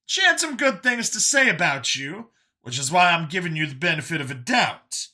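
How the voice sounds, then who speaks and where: Man acting out high-pitched, excitable folksy survivor. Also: moderate pace, heavily acted. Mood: wary, matter-of-fact. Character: older man in youthful body, eager scrappy fugitive survivor.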